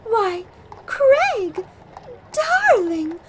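Casting: actress for excitable gushing woman, retro radio play, very shrill, swooping delivery. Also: extremely slow, heavy background noise, heavily acted. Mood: gushing surprise. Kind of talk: retro radio drama acting.

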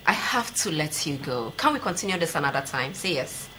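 A young woman with a Ghanaian accent and a bright, forward voice hosts a TV sports talk show. She interviews in a conversational way, with clear noise behind her. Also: deep voice, sing-song, brisk, low-quality recording. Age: young adult. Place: TV studio.

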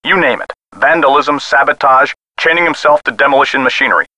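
Game role: skeptical, weary American cop on the phone, mid-pitched, hard-edged, folksy and condescending. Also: male voice, fast, pushed and bright, no echo, rough recording. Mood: cynical. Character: dismissive, weary male police sergeant, skeptical and patronizing. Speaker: police missing-persons officer. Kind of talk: video game voice acting.

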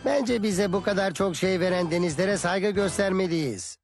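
High-voiced kindly old male teacher. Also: moderate pace. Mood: patient, instructive. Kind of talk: dubbed educational cartoon storytelling. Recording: loud music behind the voice.

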